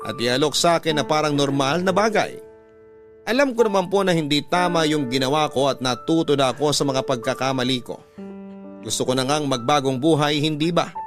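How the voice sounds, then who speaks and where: Male radio host, slightly high voice, dramatic scripted storytelling, clear background music. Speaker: radio host narrating listeners' letters.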